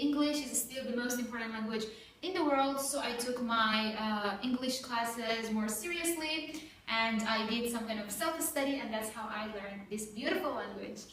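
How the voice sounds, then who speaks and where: A young adult woman from Iran, a native Persian speaker, co-hosting a casual chat, eager and quick to chime in. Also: on the high side, a bit bright. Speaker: co-host, language learner. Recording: echoey room, mic at a distance, faint noise.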